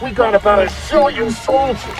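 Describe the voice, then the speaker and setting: A high-pitched, cocky henchman. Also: normal speed, distant mic, music in the background, poor audio. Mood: taunting. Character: cocky, menacing male costumed henchman. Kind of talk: video game villain acting.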